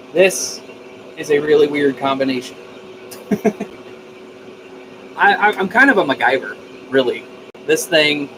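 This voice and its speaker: Enthusiastic man live streaming over background noise. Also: a little high. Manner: folksy, informal, mildly profane; enthusiastic, effusive. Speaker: live stream host of a DIY radio channel. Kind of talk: casual live stream chat.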